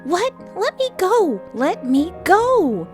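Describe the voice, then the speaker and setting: Woman acting a fierce, indignant young sorceress, shrill. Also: very animated, a little slow, soft background music. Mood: furious. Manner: demanding, sharp-tongued, indignant. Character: Fia, fierce angry young woman with magic.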